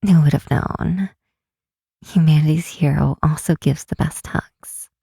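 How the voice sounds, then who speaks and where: A woman playing a timid, self-doubting young fantasy healer in an ASMR roleplay, speaking softly and haltingly in a breathy half-whisper. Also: low voice, steady tone, no room sound, studio quality, heavily acted. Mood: comforted, tender. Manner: halting, sighing, unsure delivery. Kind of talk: ASMR roleplay, intimate and hesitant. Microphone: close, dry microphone.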